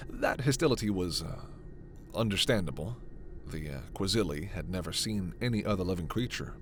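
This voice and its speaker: A man playing a gentle, earnest alien scientist in a sci-fi ASMR roleplay, speaking in a measured, hesitant, soft way. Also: deep voice, lively intonation, a little hiss or hum. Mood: solemn. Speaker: voice actor.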